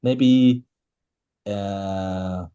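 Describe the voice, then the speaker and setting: A man from China with a Chinese accent, a native Chinese speaker, answering interview questions in halting English and often searching for words. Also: on the low side, warm tone, smooth, dull audio. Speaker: interview guest, marathon swimmer.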